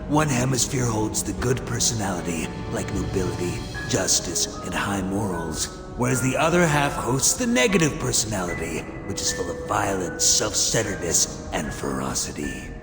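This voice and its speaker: A megalomaniac scientist villain from a video game with a fairly low voice. He gloats coldly and theatrically, stretching key words with smug satisfaction. The voice echoes, with music clearly playing underneath. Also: sing-song. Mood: coldly explaining. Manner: theatrical, gloating, drawn-out emphasis.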